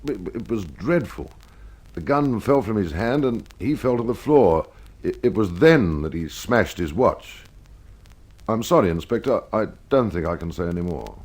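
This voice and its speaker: A man's voice: on the low side, big pitch swings, normal speed, a little mellow, husky. A man voicing a tearful, stammering widow giving a distraught account in an old-time radio mystery.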